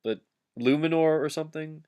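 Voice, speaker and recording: A man's voice: on the high side, moderate pace, mellow, clear, resonant tone. A man doing a comic dramatic reading of fan fiction, giggly and often breaking off to heckle the text with asides.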